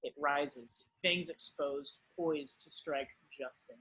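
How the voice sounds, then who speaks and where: A man giving scripted narration, reading the stage directions of a drama in a husky voice. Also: fairly high pitch, slow pace, sharp tone, slight background noise, rough recording.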